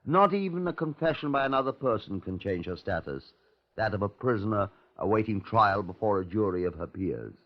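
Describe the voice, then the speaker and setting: Measured British detective-narrator; formal, deliberate, widely inflected, dull vintage radio recording. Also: male voice, lowish voice, lively intonation. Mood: solemn, formal. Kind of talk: 1950s radio crime drama, formal narration. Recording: muffled old radio broadcast recording.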